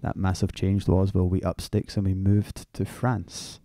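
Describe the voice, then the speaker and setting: A man with a Glaswegian Scottish accent telling his own story in a laid-back, wry way, with the odd casual swear. His voice is very low and very dark. It is recorded close and dry. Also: flat delivery, unhurried, dry recording. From Glasgow, Scotland. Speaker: fitness coach, podcast guest.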